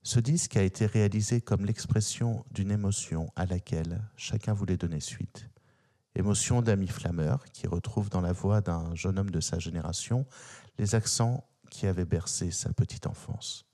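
A man hosting a radio show, reading liner notes and a quoted text in a measured, deliberate way. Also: low voice, mellow. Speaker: radio host. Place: radio studio.

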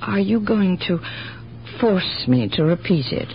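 A kindly, discreet aunt in a radio play, lowish and very expressive in pitch. She speaks with genteel reluctance on a muffled, humming old broadcast. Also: faint music behind. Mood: reluctant. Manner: genteel, hedging, halting. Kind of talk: radio drama acting.

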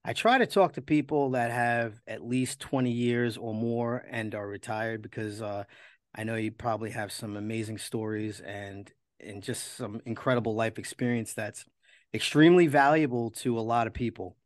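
A man's voice: medium pitch, moderate pace, dry recording. A man hosting a podcast, interviewing in a casual, conversational way.

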